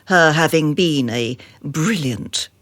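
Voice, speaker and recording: A woman's voice: sits low, slow pace, fairly bright tone, no room sound. An actress playing a haughty, cold female boss in a radio drama, speaking in an imperious, condescending and theatrical way.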